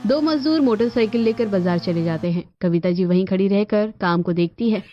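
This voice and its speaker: A woman giving scripted narration for an animated family story. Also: medium pitch, brisk, muffled recording, slight background noise.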